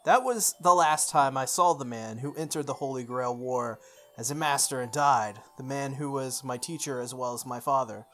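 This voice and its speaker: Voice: mid-range voice, normal speed, soft background music. A game playthrough host expressively reading story text aloud, stumbling and correcting along the way, with off-the-cuff asides.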